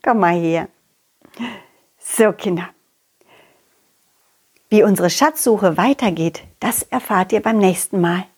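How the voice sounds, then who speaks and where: A woman's voice: medium pitch, takes it slowly, pauses often, darkish tone, husky, pitched below the performer's own voice. A woman voicing a confident, loyal, cheerful dog who talks affectionately and encouragingly to children in an audio story. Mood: tender. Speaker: puppeteer hosting a children's audio story podcast.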